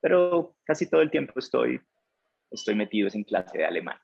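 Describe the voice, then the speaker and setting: Man, 30s, Colombian accent, relaxed guest, husky voice, dull lo-fi audio. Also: medium pitch, brisk. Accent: Colombian, from Ibagué. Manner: relaxed, laughs between remarks. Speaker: interview guest. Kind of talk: casual interview talk.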